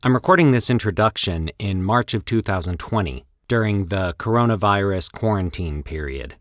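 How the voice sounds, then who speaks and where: A man narrating a scripted horror story in a formal, deliberate, theatrical storytelling manner. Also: on the low side, expressive, fairly bright tone, no room sound, clean studio sound. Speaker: host and narrator of a fiction podcast.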